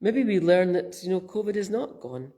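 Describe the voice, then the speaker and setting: A Scottish woman minister speaks in a gentle, conversational way, her mellow voice roughened and croaky from a summer cold. She takes her time, musing aloud like a quiet sermon. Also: on the low side, expressive, moderate pace. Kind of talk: reflective sermon.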